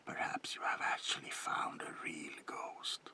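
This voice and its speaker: A man voicing an eerie, courteous old vampire baron in a breathy gravelly whisper for an ASMR horror roleplay. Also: sharp tone. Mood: ominous, musing. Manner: slow, theatrical, lingering whisper with archaic politeness.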